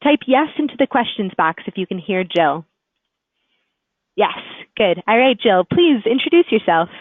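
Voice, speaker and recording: A woman's voice: mid-range voice, sing-song, moderate pace, no room sound. A woman hosting an online webinar, informally moderating and checking in with speakers. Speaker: webinar host. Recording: thin, dry phone-like call audio.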